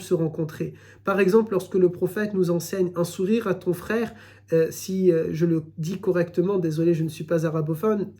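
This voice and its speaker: A man giving a recorded religious lesson, explaining in a measured way and often repeating his phrases. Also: sits high, warm tone. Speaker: Islamic teacher.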